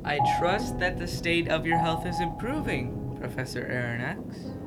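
A teenage girl playing a proud, cold submarine captain in a youth radio play, speaking formally and deliberately. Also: low voice, animated. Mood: cool, proud. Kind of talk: youth radio theatre acting. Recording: radio play with loud music underneath.

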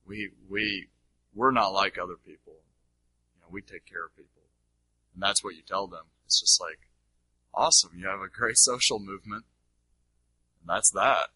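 A man's voice: low voice, normal speed, long pauses, sharp tone, husky, dry recording, poor audio. A man giving unscripted teaching as he answers audience questions, explaining emphatically and checking in with his listeners.

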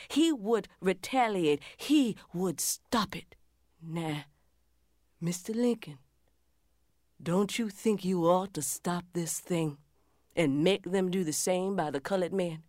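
Actress playing a slow, earnest pleading mother. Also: mid-range voice, dry recording, clean studio sound. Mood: pleading, resolute. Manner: deliberate, emphatic, imploring. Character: earnest, dignified mother of a Civil War soldier, pleading firmly. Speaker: actor in a dramatic reading. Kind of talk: theatrical reading of a historical letter.

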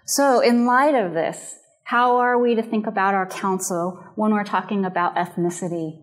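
Earnest woman teaching biblical counseling. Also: medium pitch. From Japanese and American background. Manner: earnest, measured, explanatory. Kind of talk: recorded lecture.

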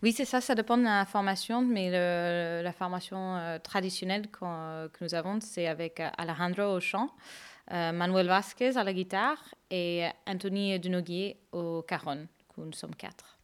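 Smooth low-voiced woman guest. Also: normal speed. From Canada. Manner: soft-spoken, many hesitations, light laughs. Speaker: flamenco dancer, guest. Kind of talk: radio interview answers.

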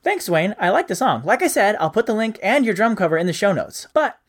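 A man's voice: high-pitched, big pitch swings, fairly quick. A man hosting a podcast, answering listener mail in a playful, casual way. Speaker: podcast host.

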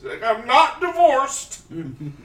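A man in his thirties in casual chat, animated and laughing often, slipping into exaggerated quoted voices. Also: very high-pitched, takes it slowly. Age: 30s. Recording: roomy echo, distant mic, faint hiss.

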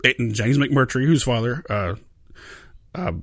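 A man's voice: mid-range voice, measured pace, fairly bright tone, dry recording, studio quality. A man co-hosting a music podcast, enthusiastic and prone to repeating words as he starts sentences. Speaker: podcast co-host. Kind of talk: casual chat.

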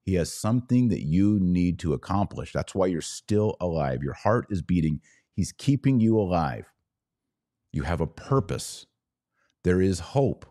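Deep-voiced American male commentator. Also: very animated, unhurried, mellow. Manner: emphatic, preachy, conversational. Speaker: podcast host and religious commentator. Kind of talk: live religious and political commentary, answering viewer comments.

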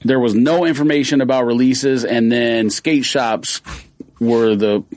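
A man's voice: mid-range voice, fairly even intonation, unhurried, darkish tone. A man co-hosting a casual podcast, teasing and blunt, who trails off while searching for words. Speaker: podcast co-host. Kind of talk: casual sneaker podcast chat.

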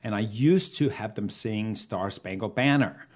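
A man's voice: lowish voice, measured pace. A man from Denmark, telling casual anecdotes as an interview guest in a jokey way, often laughing at his own stories. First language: Danish. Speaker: interview guest, comedy juggler. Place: hotel room.

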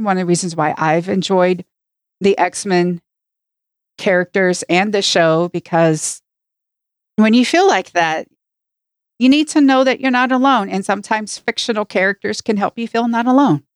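A woman's voice: mid-range voice, moderate pace, no room sound, studio quality. A woman co-hosting a podcast, talking in a casual discussion style. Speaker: podcast co-host.

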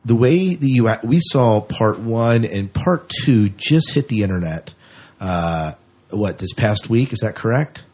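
A man's voice: lowish voice, expressive, measured pace, darkish tone, breathy edge. A man hosting a podcast, chatting casually with a guest in an encouraging, agreeable way. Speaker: podcast host, interviewer. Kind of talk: casual interview chat.

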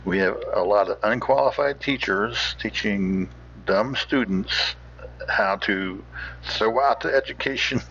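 An American man hosting with casual, opinionated commentary in a folksy, halting, rambling way. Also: on the low side, vocal fry, a bit bright, lo-fi sound, slight background noise. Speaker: host.

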